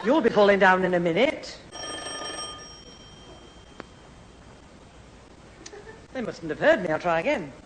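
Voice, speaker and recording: A woman's voice: fairly low pitch, very animated, fast. An actress playing a long-suffering, scolding mother in a 1970s radio sitcom. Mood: exasperated. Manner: scolding but indulgent. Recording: echoey radio theatre, loud audience, hiss.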